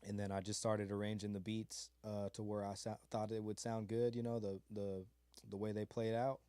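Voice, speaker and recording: A man's voice: on the low side, flat delivery, normal speed, mellow, dry recording. A man from California's Mojave desert, a beat maker being interviewed, talking in a laid-back, rambling way full of fillers and slang. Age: adult with a child. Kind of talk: casual interview chat.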